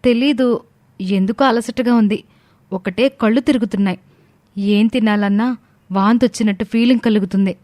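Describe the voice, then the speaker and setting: A woman's voice: medium pitch, fairly even intonation, normal speed, long pauses, darkish tone, dry recording, lightly acted. A woman playing a sweet young expectant wife in a family story, polite and playfully coy. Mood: weary, polite. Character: sweet, coy young pregnant wife, respectful daughter-in-law.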